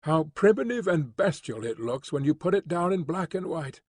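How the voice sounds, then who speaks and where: A man narrating an audiobook with measured, expressive storytelling. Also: a little high, husky, no echo, clean studio sound. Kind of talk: scripted narration.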